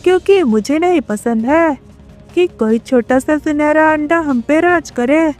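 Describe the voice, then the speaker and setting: A woman's voice: high-pitched, unhurried, darkish tone. A woman voicing a resentful, defiant male crow villain in a cartoon story. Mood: defiant, bitter. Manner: defensive, defiant. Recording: music behind the voice.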